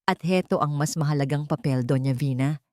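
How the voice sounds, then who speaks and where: A woman's voice: deep voice, a little fast, a little mellow, no echo, studio quality, natural voice. A woman voicing a wronged wife in a dramatic story, cold, threatening and resolute as she accuses. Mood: stern accusation. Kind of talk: dramatic storybook dialogue reading.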